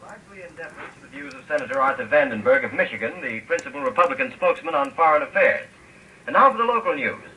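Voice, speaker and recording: A formal radio news announcer in a 1940s radio drama. He reads fast and lively, sounding far off and dull. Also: sits high, a little hiss or hum. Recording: dull distant radio broadcast.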